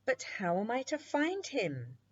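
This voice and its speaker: A woman's voice: a little high, huge pitch swings, a little slow, breathy edge, pitched above the performer's own voice, full character voice. A woman voicing an eager, talkative little princess in a children's storybook, self-assured and earnest, on a muffled recording. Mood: earnest, wise.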